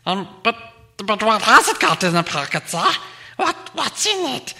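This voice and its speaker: Storybook creature role: spiteful, slimy wheedler, hissing and shrieking, extremely sharp, huge pitch swoops. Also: male voice, big pitch swings, measured pace, exaggerated voice. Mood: sly, impatient. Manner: fussy muttering with repeated words. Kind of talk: audiobook character acting.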